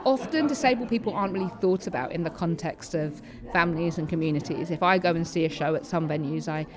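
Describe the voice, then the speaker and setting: A woman's voice: fairly low pitch, fast talker. A woman speaking conversationally as an interview guest, with involuntary vocal tics that can interject stray words. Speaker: panellist and theatre-maker being interviewed. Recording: busy venue with crowd chatter behind. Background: crowd chatter.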